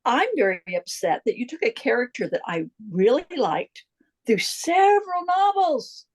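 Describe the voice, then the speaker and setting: Excited lady reader, bit husky, voice jumping all over, video call. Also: on the high side, normal speed. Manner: gushing, enthusiastic fan. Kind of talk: casual video call chat.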